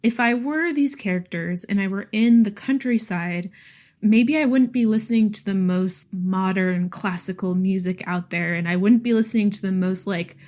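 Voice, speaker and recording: A woman's voice: mid-range voice, normal speed, darkish tone. A woman co-hosting a podcast, chatting casually about books in a giggly, enthusiastic way. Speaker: podcast co-host. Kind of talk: casual book chat.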